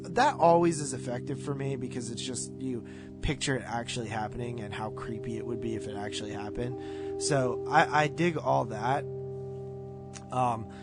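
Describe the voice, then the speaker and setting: A man with a mid-pitched voice in a casual podcast conversation, reviewing films. He talks informally and rambles through his points. Guitar music plays clearly behind him. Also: steady tone, moderate pace. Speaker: podcast co-host. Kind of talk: casual movie review chat.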